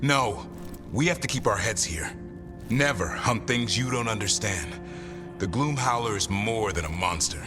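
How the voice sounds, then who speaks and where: A man's voice: on the low side, expressive, unhurried. A man playing a protective, principled warrior who handles a beast in a fantasy game, with a firm, emphatic manner. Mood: firm, urgent. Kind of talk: scripted fantasy game dialogue. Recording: music behind the voice.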